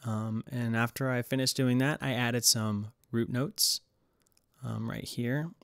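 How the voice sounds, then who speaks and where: A man's voice: medium pitch, fairly even intonation, slow pace, creaky, a bit bright, clear, resonant tone, no room sound, studio quality. A man giving a casual, hesitant tutorial voice-over as he explains music production. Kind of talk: casual screen-recorded tutorial narration.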